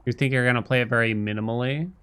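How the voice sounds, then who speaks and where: A man's voice: mid-range voice, steady tone, very fast, a bit bright, clean tone. A man livestreaming a video game, musing aloud and chatting casually with his viewers in a conversational way. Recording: game music behind the voice.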